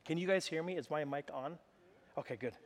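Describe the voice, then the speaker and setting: Male pastor, high-pitched, loud and animated, preaching in a church hall with long reverb. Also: brisk. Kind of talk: sermon.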